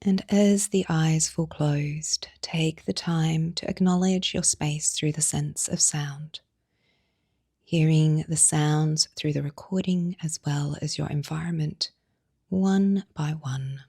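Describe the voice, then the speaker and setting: A woman guiding a yoga nidra meditation, speaking softly and soothingly in a breathy voice with long pauses between phrases. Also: deep voice, steady tone, no echo, studio quality. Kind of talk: guided meditation narration.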